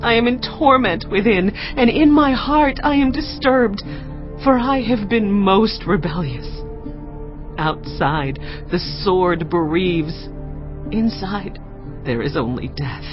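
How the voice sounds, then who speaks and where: A woman playing a grieving, desolate fallen city in a dramatized Bible reading, lamenting with slow, solemn sorrow. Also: mid-range voice, lively intonation. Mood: anguished, distressed. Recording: muffled, loud music behind.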